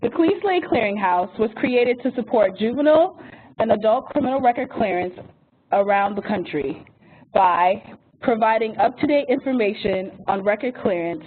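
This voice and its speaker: A woman moderating a webinar, reading housekeeping notes and an introduction from a script. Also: medium pitch. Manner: reads phone number slowly and carefully. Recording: tinny phone-line audio with faint hiss.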